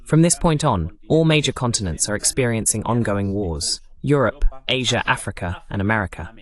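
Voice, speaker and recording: A man hosting a political talk show, speaking in a formal, measured announcer style as he introduces and moderates. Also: lowish voice, animated. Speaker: host. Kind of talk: scripted introduction and moderating questions, translated voice-over.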